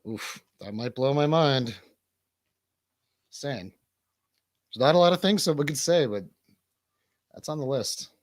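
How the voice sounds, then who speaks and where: A man's voice: mid-range voice, expressive, a little slow, long pauses, no room sound. An American man co-hosting a comedy radio show as a DJ, chatting casually in rambling, profane, joking banter.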